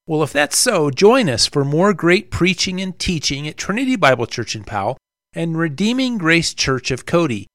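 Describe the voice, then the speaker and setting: A man's voice: on the high side, big pitch swings, moderate pace, fairly bright tone, no room sound, studio quality. A man reading a scripted promotional announcement as a radio station announcer.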